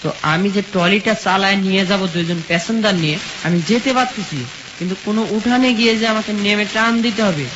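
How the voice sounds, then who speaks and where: Young village guy from bangladesh telling his life story, high voice, muffled with a clear hiss. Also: fairly quick. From rural Bangladesh. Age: young adult. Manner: plain colloquial village speech, recounting step by step. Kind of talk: personal storytelling in an interview, quoting dialogue. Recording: dull sound with steady sizzling noise.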